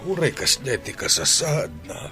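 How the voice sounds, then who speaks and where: A man's voice: mid-range voice, big pitch swings, moderate pace, pushed and bright, soft background music. A man acting in a radio drama as a fond, concerned old grandfather.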